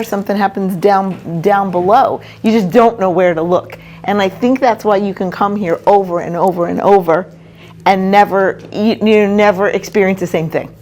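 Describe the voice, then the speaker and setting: A woman, a paranormal investigator, excitedly answering interview questions and repeating herself for emphasis. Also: medium pitch.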